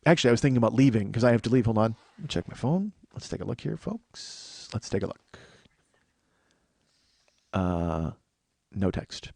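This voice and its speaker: A man hosting a podcast, riffing in a profane, excitable comedic monologue into a studio microphone. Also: lowish voice, normal speed, vocal fry, pauses often, dry recording. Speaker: podcast host.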